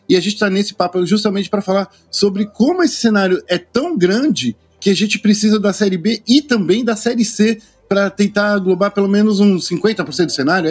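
A man hosting a casual video call interview, asking friendly, rambling questions. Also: high-pitched. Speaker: interview host.

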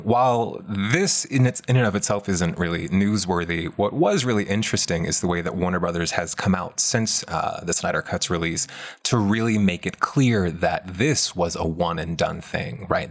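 Podcast co-host: American man, low register, conversational but emphatic delivery. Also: normal speed. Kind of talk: conversational pop culture podcast talk.

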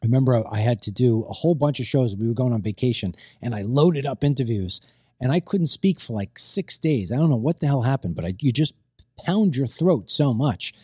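A middle-aged man hosts a loose, chatty show, his voice strained after losing it. His tone is mellow and dark, recorded dry and close with no room sound. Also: mid-range voice, lively intonation, brisk, husky, no room sound. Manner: chatty, rambling, repeats himself. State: strained voice, recovering from voice loss. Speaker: host. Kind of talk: casual talk show chat.

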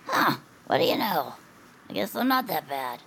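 A woman's voice: fairly low pitch, sing-song, moderate pace, vocal fry, fairly bright tone, slight background noise, heavily acted. A woman playing a shy, reluctant young female bird in a family audio drama, hesitant and matter-of-fact. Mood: casual, dry. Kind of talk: family audio fiction acting.

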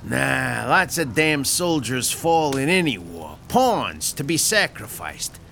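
Radio-drama mob boss, played by a man: slow, gruff, sardonic, Chicago accent, pitch rising and falling a lot. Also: big pitch swings, sharp tone, faint music behind, heavily acted. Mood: menacing. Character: menacing 1930s Chicago mob boss, middle-aged. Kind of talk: audio drama acting.

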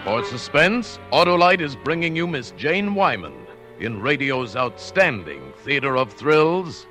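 A man announcing a 1940s radio thriller in a formal, measured, dramatic scripted style. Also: medium pitch, very animated. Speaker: show announcer. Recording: vintage 1940s radio broadcast, music behind.

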